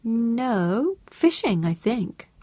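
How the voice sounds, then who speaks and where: A woman's voice: on the high side, very animated, drawn-out words, mellow, no echo, pitched above the performer's own voice, exaggerated voice. A woman narrating an audiobook voices an earnest, chirpy American housewife with a sing-song American accent. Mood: chatty.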